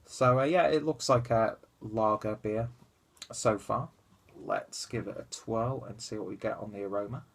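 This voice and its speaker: Young man, stuffy and nasal, low husky voice, rambling casual vlogger at his desk webcam. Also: normal speed. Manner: rambling, chatty, lots of fillers and asides. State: stuffy, congested nose. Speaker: beer reviewer. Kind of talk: casual beer review vlog. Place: small room at home, indoors.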